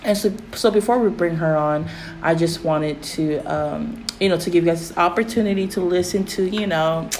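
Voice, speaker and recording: A woman with a raspy, fairly low voice hosts a relaxed faith podcast. She rambles through fillers and turns animated when something excites her. A faint hiss sits under her voice. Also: moderate pace, poor audio. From Pacific Islands. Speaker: podcast host. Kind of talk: casual faith podcast chat.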